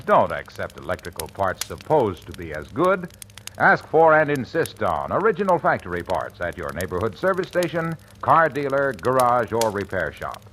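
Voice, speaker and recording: American guy doing vintage radio ad reads, punchy n polished, really lively intonation. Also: mid-range voice, a little hiss or hum. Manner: emphatic, polished salesman delivery. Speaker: commercial announcer. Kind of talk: 1950s scripted radio advertising and credits.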